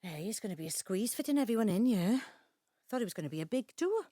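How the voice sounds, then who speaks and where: An actress playing a middle-aged Welsh daughter in an audio drama, a chiding, anxious peacekeeper with a South Wales accent. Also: female voice, mid-range voice, sing-song, fast, mellow, dry recording, studio quality. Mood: exasperated, pleading. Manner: chiding, pleading. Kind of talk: naturalistic audio drama acting.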